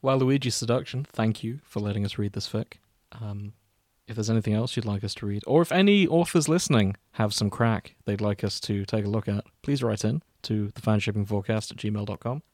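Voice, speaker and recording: A man's voice: fairly low pitch, brisk, few pauses, darkish tone, no echo, clean studio sound. A man hosting a casual comedy chat with friends in a wry, jokey way. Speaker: podcast host.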